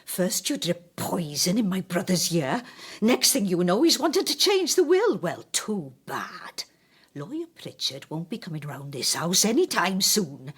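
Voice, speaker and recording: An actress playing a spiteful, suspicious middle-aged woman in a radio drama, sharp, accusatory and breathy. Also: lowish voice, sing-song, unhurried, exaggerated voice. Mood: accusing. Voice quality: husky, breathy, hissing. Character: spiteful, suspicious, scolding housekeeping sister, a woman.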